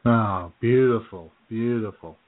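A man's voice: fairly low pitch, slow. A man hosting an internet radio show from a small-town home studio, chatting in a laid-back way and chuckling often. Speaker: host. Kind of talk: casual radio chat. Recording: tinny phone-like line.

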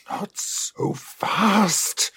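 A monstrous, gloating villain in a radio drama. He drags out his taunts slowly, leering in a husky, mocking voice. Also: a little high, no echo, exaggerated voice. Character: gloating, mocking, monstrous evil alter ego. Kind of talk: radio play acting.

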